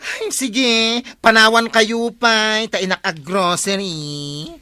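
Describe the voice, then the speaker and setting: A woman's voice: medium pitch, steady tone, slow pace, bright, forceful tone, no echo. A woman playing a busy village housewife in an Ilocano comedy drama, sighing and exclaiming. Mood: exasperated.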